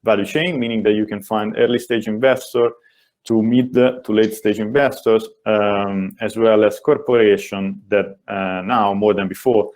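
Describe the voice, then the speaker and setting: A man with an Italian accent, a venture investor answering as a panelist in a webinar in a measured, thoughtful way. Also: on the low side, steady tone, a little mellow. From Italy.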